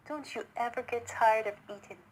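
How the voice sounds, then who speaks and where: A woman's voice: a little high, moderate pace, slight background noise, natural voice, rough recording. A woman voicing a worldly, eager male city chipmunk in a children's storybook, persuasive and full of questions. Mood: disbelieving.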